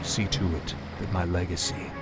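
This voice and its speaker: Deep-voiced grim undead warrior prince played by a man. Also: flat delivery, moderate pace, close to an everyday voice. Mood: solemn. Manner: solemn, stern, theatrical. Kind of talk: fantasy video game cutscene acting.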